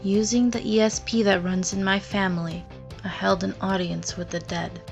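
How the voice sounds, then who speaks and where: A woman playing a determined female lawyer in a game fandub, narrating a written record in a measured, grave way. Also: mid-range voice, little pitch movement, gravelly, close to an everyday voice. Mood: solemn, resolute. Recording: music behind the voice.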